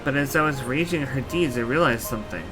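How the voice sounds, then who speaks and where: A man's voice: mid-range voice, quick pace, close to an everyday voice, low-quality recording. Man playing an earnest young royal woman, music behind. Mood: resolute. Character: earnest, warm-hearted young female royal, resolute and protective. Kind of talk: video game dialogue acting.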